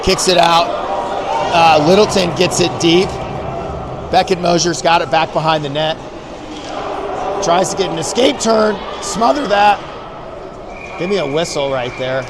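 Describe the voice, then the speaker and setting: Amateur rink announcer, male, folksy showman, bursts into shouts; noisy arena recording. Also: a little high, a little slow, long pauses. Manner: excitable, shouts on big plays, folksy showman patter. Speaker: youth hockey play-by-play announcer. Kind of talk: amateur play-by-play commentary. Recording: loud arena crowd and noise. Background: loud crowd and rink noise.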